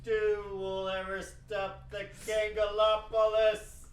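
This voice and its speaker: Man playing a high, slow, gloating devil. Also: completely flat delivery. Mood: gloating menace. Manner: slow, theatrical taunting. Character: gloating devil villain possessing a scientist. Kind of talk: amateur comedic radio play. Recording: roomy, far from the mic.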